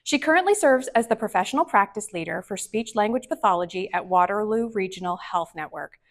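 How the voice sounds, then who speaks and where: A woman's voice: mid-range voice, expressive, a little fast. A woman moderating a conference session, reading an upbeat, formal introduction with slight stumbles. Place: conference breakout room.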